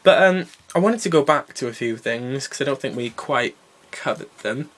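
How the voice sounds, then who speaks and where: A man's voice: medium pitch, animated, normal speed. A young man hosts a casual podcast chat, teasing his co-hosts and jumping between topics. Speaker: podcast host. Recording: faint hiss and clicks.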